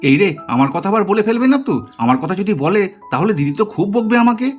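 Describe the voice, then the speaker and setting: A man's voice: sits high, lively intonation, fast, lo-fi sound. A man voicing a flustered, guilty but caring young village uncle doll in a puppet cartoon, often repeating his words. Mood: guilty, anxious. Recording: music under the voice.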